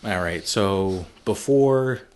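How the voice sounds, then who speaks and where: A man hosting a movie podcast, giving his views in a relaxed, thinking-aloud way. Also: on the low side, slow pace. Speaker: podcast host. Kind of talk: casual film discussion.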